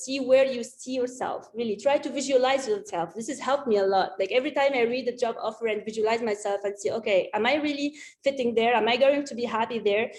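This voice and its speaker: A woman's voice: on the high side, darkish tone. A woman from Tunisia, giving an informal career talk online, often rephrasing in an earnest, hesitant way. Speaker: conference speaker, data science team lead. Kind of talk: informal career advice presentation. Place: remote video conference.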